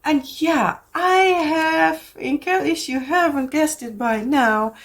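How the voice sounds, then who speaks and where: A woman's voice: high-pitched, slow pace. Chatty Dutch-accented woman vlogger. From Netherlands. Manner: chatty and rambling, many fillers and restarts. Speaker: craft vlogger. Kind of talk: casual chat to camera.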